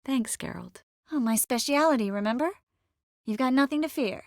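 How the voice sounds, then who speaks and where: A woman playing a poised, wry, warm-hearted sorceress in a video game, composed and lightly teasing. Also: high-pitched, normal speed, dry recording, studio quality. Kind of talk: scripted game character dialogue.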